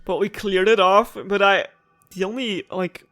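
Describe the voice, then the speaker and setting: A man's voice: high-pitched, steady tone, a little slow, bright, forceful tone, dry recording. A man giving casual let's play commentary as a gaming streamer.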